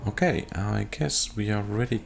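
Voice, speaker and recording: A man's voice: fairly low pitch, moderate pace, vocal fry. A man giving casual gameplay commentary, musing and thinking aloud as he plays. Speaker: game streamer. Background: video game music.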